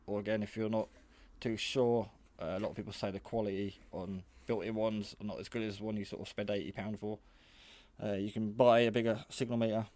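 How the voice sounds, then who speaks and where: A man's voice: on the low side, level tone, a little fast, darkish tone. A man giving a casual, hands-on product demonstration in a hesitant, rambling, matter-of-fact way. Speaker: hobbyist radio reviewer. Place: garage.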